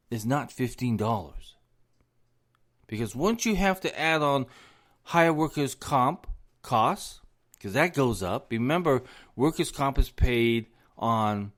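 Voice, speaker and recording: A man hosting a solo podcast, losing his voice, talking in an indignant tone and repeating words as he rants. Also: mid-range voice. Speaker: podcast host.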